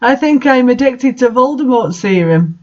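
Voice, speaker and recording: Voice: mid-range voice, expressive, normal speed, a little mellow, voice pushed up, close to an everyday voice. A reader voicing a weepy, flustered female goth teacher from a story in a melodramatic way. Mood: sad. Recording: dull lo-fi sound.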